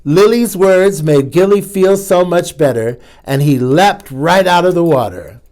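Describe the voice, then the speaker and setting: A man's voice: sits high, expressive, a little slow, darkish tone. A man hosting a children's storytime, reading a picture book aloud with playful asides to young listeners. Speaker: storytime host.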